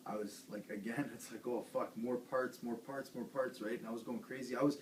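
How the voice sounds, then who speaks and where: A man's voice: medium pitch, fairly even intonation, brisk. A man who plays guitar in a band, chatting casually in a rambling, hesitant way. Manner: rambling, hesitant, lots of fillers and restarts. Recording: echoey room, distant mic, faint hiss.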